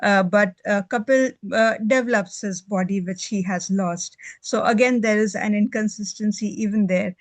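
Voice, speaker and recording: Medium pitch, halting delivery, book club commentary, close dry sound. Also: no room sound. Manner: hesitant, halting, frequent repetitions. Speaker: book club discussion participant. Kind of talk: book discussion, improvised commentary.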